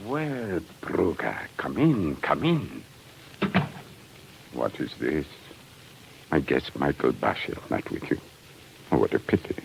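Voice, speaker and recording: An actor playing a sly prison commandant of Tsarist Russia in 1940s radio drama, gravelly and unctuous, speaking with deliberate insinuation. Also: male voice, extremely low pitch, very animated, exaggerated voice. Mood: falsely welcoming. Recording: noisy old 1940s radio recording.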